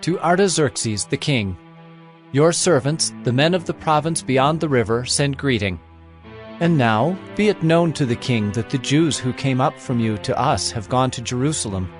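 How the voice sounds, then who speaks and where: An actor voicing provincial officials who read out a formal, accusatory letter. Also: male voice, medium pitch, expressive, normal speed, soft background music, natural voice. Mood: accusing.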